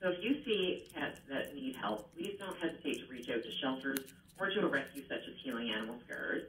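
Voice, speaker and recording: A woman's voice: very deep voice, animated, fairly quick, fairly bright tone. Female radio guest, Canadian accent, earnest informative delivery, distant playback, faint noise. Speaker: animal rescue founder, radio guest. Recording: distant, echoey, noisy radio playback in room.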